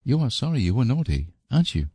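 A man voicing a patient, kindly young woman in a storybook, gently reasoning and soothing as if to a child. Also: mid-range voice, big pitch swings, fast talker, breathy edge, dry recording, clean studio sound, voice pushed up. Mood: soothing, firm. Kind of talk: storybook character voice.

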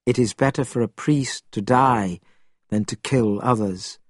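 Man as a slow, gentle young priest. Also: medium pitch, no echo, studio quality, natural voice. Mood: sad reproof. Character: gentle, sorrowful young priest, morally stern. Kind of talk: storybook character voice.

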